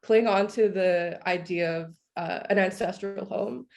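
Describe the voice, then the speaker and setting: A woman's voice: fairly low pitch, a little slow. A young woman moderating an artist talk, speaking casually and thoughtfully with frequent "like". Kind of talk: panel moderation.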